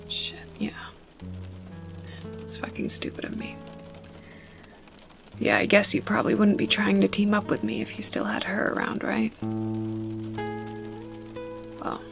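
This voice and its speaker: A wary, sharp-tongued young woman survivor, played by a woman speaks close to the listener in an ASMR roleplay. Her mid-pitched voice is breathy and crackles with fry, often sinking toward a whisper. She takes her time, blunt and grudgingly caring, over faint music. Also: big pitch swings, slow pace, pauses often, full character voice. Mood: guarded, probing. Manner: wary, sarcastic, blunt, cursing.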